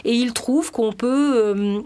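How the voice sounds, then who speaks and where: Radio guest: woman, native Spanish speaker, slightly high pitch, halting and full of fillers. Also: a little mellow, clear, resonant tone. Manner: hesitant, many filler pauses. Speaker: guest, editor and translator. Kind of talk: radio interview.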